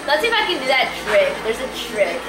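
Young woman chatting over a kart game, kinda high voice, giggly, echoey room with game music loud behind. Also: big pitch swings, brisk. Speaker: let's play host. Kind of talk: casual gameplay chat.

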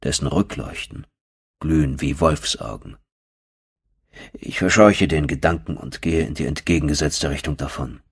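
A man's voice: rumbling low, normal speed, slightly rough. A man narrating a first-person horror audiobook as a storyteller.

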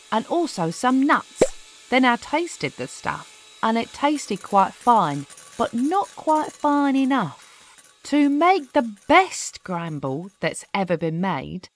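Animated woman reading a children's storybook. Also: mid-range voice, a little hiss or hum. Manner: animated, deliberate, playful storytelling. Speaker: storybook narrator. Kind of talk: expressive children's read-aloud narration of rhyming verse.

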